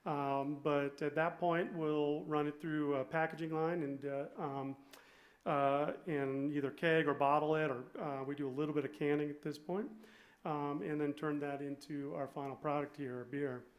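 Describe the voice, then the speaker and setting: A man giving an informal, halting presentation as a brewer on a panel. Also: a little high, flat delivery. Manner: hesitant, halting delivery.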